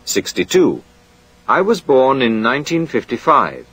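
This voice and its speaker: A man reading a scripted language course drill in a clear, measured way. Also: mid-range voice, sing-song, a bit bright, rough recording.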